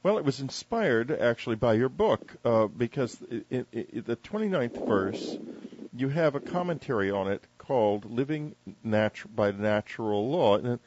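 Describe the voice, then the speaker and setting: Male radio interviewer, average pitch, deliberate literary phrasing with pauses, slightly dull recording. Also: lively intonation, a little mellow, a little hiss or hum.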